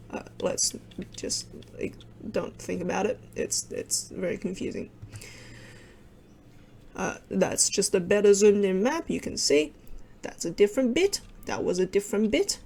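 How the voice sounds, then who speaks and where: A woman's voice: medium pitch, a little mellow. A young woman whose first language is Cantonese, casually explaining over maps in a rambling way, full of wry asides and self-interruptions. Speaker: video creator explaining with maps.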